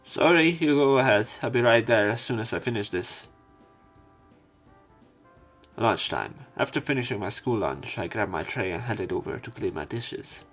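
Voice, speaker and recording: Low-pitched man reading a visual novel. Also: animated, moderate pace. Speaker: let's play narrator. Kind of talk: reading visual novel text and dialogue aloud. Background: game music.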